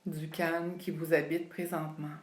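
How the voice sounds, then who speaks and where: A woman leading a guided yoga relaxation, speaking calmly and soothingly with long pauses between phrases. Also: low-pitched. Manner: calm, unhurried, soothing delivery with long pauses. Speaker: yoga nidra instructor. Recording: roomy, echoing sound.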